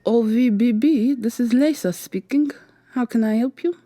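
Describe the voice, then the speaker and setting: Woman acting as sardonic vampire receptionist, female, soft mellow tone, breathy husk, dry close sound, ASMR roleplay. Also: fairly high pitch, normal speed, no room sound, natural voice. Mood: courteous, soothing. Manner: polite customer-service patter, hushed urgent asides. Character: weary, sardonic female vampire receptionist, secretly helping an infiltrator.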